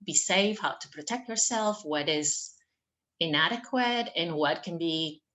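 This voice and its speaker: A woman from Venezuela with a very low voice speaks on an online panel. She is reflective and measured, taking her time over each point. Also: fairly bright tone. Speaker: church formation director. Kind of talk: panel discussion. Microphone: video call.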